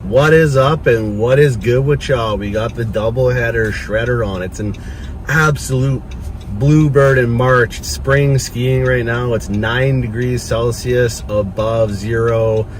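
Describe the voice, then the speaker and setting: A man's voice: medium pitch, measured pace. A man chatting casually to his viewers in a rambling, slangy, laid-back hype style while driving in a truck. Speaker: vlogger. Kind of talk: casual vlog chat to camera. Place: inside a truck being driven. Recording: loud vehicle and air-conditioning noise. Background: truck engine and road noise.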